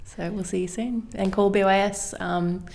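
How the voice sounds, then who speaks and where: A woman's voice: medium pitch, fairly even intonation, moderate pace, creaky, a little mellow. An Australian woman speaking informally as a youth group coordinator, giving an announcement.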